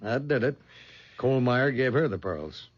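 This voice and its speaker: An actor playing a brisk, businesslike head of a detective agency in an American radio drama, with a husky voice and an American accent. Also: male voice, mid-range voice, lively intonation. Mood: matter-of-fact recounting. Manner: businesslike, explanatory. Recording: dull lo-fi 1970s radio recording.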